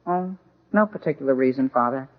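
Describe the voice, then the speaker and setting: An actor playing an earnest, hesitant high school boy who stammers, in 1940s radio comedy style. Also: brisk, close to an everyday voice. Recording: muffled vintage radio broadcast.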